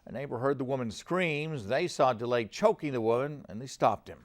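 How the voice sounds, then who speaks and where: A man's voice: mid-range voice, normal speed. A man with an American accent reading scripted news as a television anchor.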